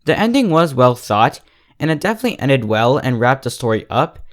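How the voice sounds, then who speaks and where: A man's voice: medium pitch, sing-song, fairly quick. A man speaking as the host of an online fiction review channel, giving a scripted critique straight to his viewers.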